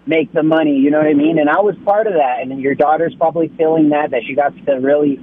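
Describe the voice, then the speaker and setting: A man in his thirties calling into a radio show, earnest and blunt, getting animated as he makes his point. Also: a little high, quick pace, slightly rough, no echo. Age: 30s. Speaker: caller. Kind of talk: call-in opinion. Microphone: telephone. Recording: tinny phone line.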